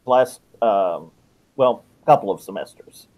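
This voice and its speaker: A middle-aged man answering interview questions as a guest author, in a measured, reflective manner. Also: lowish voice, lively intonation, dark tone. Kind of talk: interview answers about writing.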